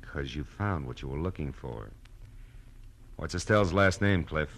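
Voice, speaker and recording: An actor playing a hard-boiled American private eye in a 1940s radio drama, narrating and talking in a dry, sardonic, clipped way. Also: male voice, a bass voice, lively intonation, unhurried, vocal fry, heavily acted. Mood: pressing. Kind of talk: 1940s radio detective acting, first-person narration and dialogue. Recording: noisy old radio recording.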